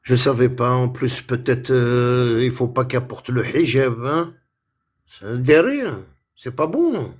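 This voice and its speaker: A man's voice: mid-range voice, animated, slow. A man from France talking on a phone live stream, indignant and repetitive, dropping Arabic words into his speech. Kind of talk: heated casual live-stream rant.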